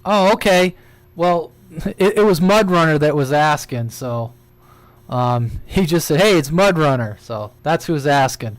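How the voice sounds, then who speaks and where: A man's voice: fairly high pitch, lively intonation, measured pace. A man hosting a casual live stream, chatting and telling stories in a jokey, rambling way. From United States. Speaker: CB radio live stream host. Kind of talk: casual chat.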